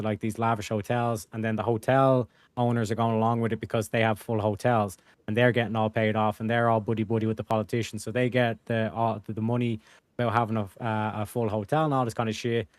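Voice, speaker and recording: A man talking rapidly as a guest in a casual panel discussion, rambling with many fillers. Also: on the low side, flat delivery, no echo.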